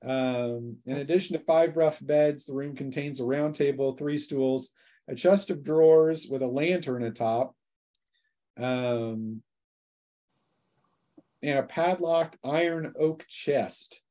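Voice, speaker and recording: A man acting as game master, casually narrating scenes and rulings at a tabletop role-playing game, sometimes haltingly. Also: medium pitch, mellow.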